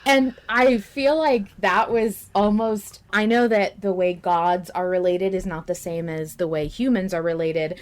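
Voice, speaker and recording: A woman co-hosting a podcast in casual fan discussion, speaking emphatically and quick to laugh. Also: medium pitch, steady tone. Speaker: podcast co-host.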